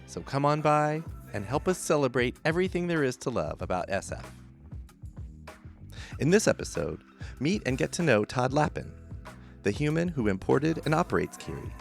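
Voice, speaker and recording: A man's voice: medium pitch, big pitch swings, vocal fry, faint music behind. A man hosting a podcast, introducing the show and chatting with his guest in a joking, easygoing way. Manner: chatty, quick to laugh and joke with the guest. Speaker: podcast host. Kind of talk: conversational interview and scripted show intro.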